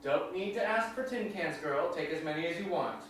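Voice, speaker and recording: A neighborly, gruff small-town gas station man, played by a woman in a stage reading. The delivery is folksy and very animated in pitch. It echoes heavily, caught by a distant mic. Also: moderate pace, close to an everyday voice. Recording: very echoey hall, distant mic, faint noise.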